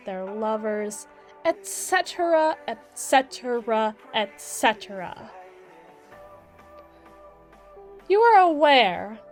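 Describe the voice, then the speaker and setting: A brisk mermaid lawyer, acted by a woman, speaks in a lightly high voice with faint music behind her. She is articulate, condescending and dryly witty, delivering her scripted roleplay monologue like a lecture, with deliberate pauses for dramatic weight. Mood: pointed, probing. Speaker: audio roleplay performer. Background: background music.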